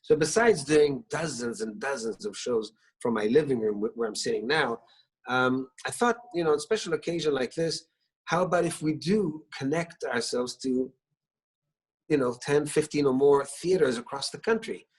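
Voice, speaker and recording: A man's voice: mid-range voice, moderate pace, slightly rough. A middle-aged man born in Israel whose first language is Hebrew, talking at home over a video call, with a lot of restarts and fillers as he tells stories. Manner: hesitant, many restarts and fillers, warm storytelling. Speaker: singer-songwriter guest. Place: his living room at home.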